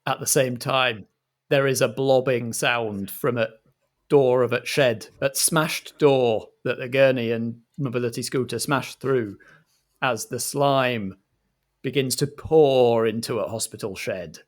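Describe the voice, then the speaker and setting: A man's voice: mid-range voice, fairly even intonation, unhurried. A man narrating a roleplay story dramatically as the game master.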